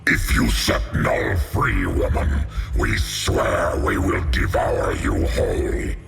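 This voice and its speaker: A man voicing a menacing alien symbiote monster for a video game, in a deep gravelly growl with layered monster processing. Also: wildly swooping pitch, takes it slowly, exaggerated voice. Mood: grim, menacing. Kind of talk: video game character acting. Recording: long reverb, music behind.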